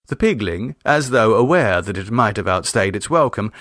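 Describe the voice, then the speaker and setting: A man's voice: fairly low pitch, lively intonation, fairly bright tone, dry recording. Man narrating, dry close sound. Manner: measured, wry, articulate. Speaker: story reader. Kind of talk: literary audiobook narration.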